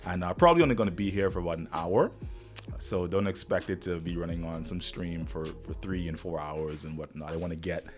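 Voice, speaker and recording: A man's voice: low voice, brisk. A man with a Bahamian accent hosting a casual live stream, rambling and upbeat as he talks straight to viewers, still getting over the flu. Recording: music playing faintly behind.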